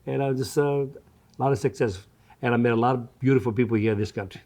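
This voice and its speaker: An older man in his seventies with a Greek accent and a soft, mellow tone at a middle pitch. He is a restaurant owner answering interview questions in non-native English. He talks conversationally and tends to repeat his phrases. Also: quick pace. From Greece. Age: 70s.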